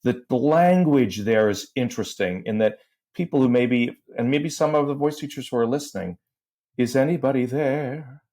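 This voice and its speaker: A man's voice: medium pitch, normal speed, mellow. A man, a voice teacher, explaining voice science in a casual podcast conversation, using sung sounds and sound effects as examples. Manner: explains step by step, vocal demos and sound effects.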